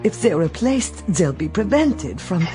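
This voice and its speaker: A calm, devoted robot maid, voiced by a woman, speaks in a very low, steady voice. Her manner is formal and earnest, and faint music plays under her in a radio drama. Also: measured pace.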